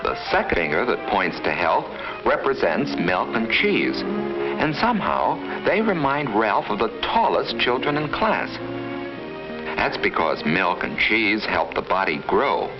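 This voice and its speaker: Lively low-voiced man narrating an old film. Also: a little slow. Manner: instructive, storytelling to children. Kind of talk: 1950s scripted educational film narration. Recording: muffled vintage 1950s film soundtrack. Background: background music.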